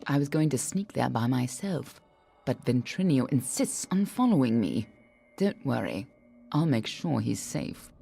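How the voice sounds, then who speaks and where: A woman's voice: a bass voice, big pitch swings, measured pace, vocal fry, mellow, breathy edge, soft background music, exaggerated voice. An actress playing a cool, self-reliant agent in a fantasy game, dry and guarded in how she talks. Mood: dry, reassuring. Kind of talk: dramatic video game dialogue.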